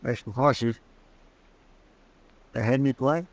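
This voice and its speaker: An actor playing a deferential, plaintive frontier deputy with a rural American Western drawl, in old radio drama style. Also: male voice, mid-range voice, slow, gravelly. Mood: tense, hushed. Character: folksy, anxious, deferential deputy of a frontier marshal. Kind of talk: 1950s radio drama acting.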